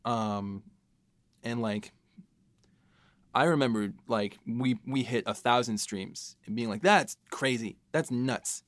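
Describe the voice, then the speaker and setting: A man's voice: medium pitch, fairly bright tone, dry recording. A young man chatting casually and reflectively, informal, often breaking off and starting his thought again. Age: young adult. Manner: halting, self-interrupting, informal. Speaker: podcast co-host, writer.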